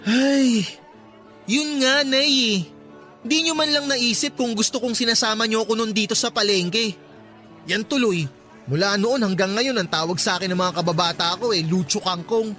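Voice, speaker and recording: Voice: mid-range voice, moderate pace, sharp tone, soft background music, close to an everyday voice. An actor playing a resentful, whining young son who talks back to his mother in a Tagalog radio drama. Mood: resentful, accusing. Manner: complaining, talks back, sulky.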